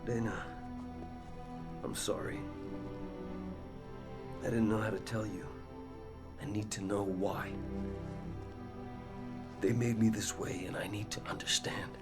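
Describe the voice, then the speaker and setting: An actor playing a tormented, menacing killer, terse and grave, in a video game drama. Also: male voice, on the low side, steady tone, slow pace, pauses often. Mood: remorseful. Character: intense, tormented, menacing male infected killer. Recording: music clearly behind the voice.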